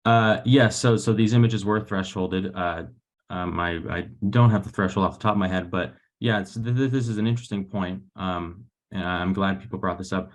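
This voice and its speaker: A young adult man answering as a graduate researcher in an academic webinar, speaking in halting phrases with repeated words over a video call. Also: low voice. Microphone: video call microphone.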